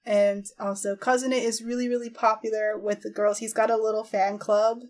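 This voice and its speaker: A woman's voice: on the high side, little pitch movement, normal speed, a little mellow. A woman on a podcast giving a loose, rambling recap in casual chat, with little laughs between phrases.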